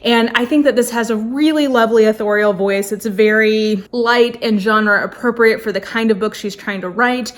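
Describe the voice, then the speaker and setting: A woman's voice: a little high, fairly even intonation, normal speed, few pauses. A woman chatting casually and effusively as a book vlogger, with an informal delivery full of "like".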